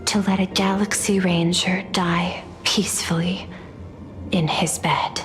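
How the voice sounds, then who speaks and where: An actress playing an imperious, otherworldly noble lady in a video game, speaking in a measured, solemn, regal manner. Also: on the low side, flat delivery, close to an everyday voice. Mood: solemn, lofty. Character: imperious, otherworldly noble lady, cool yet admiring of humans. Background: background music.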